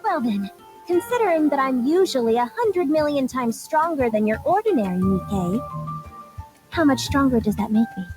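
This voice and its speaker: High, animated, goofy hero girl. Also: moderate pace, over music. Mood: boastful. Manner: theatrical, boastful. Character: boastful, childlike hero girl, loud, dramatic and goofy. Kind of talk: video game voice acting.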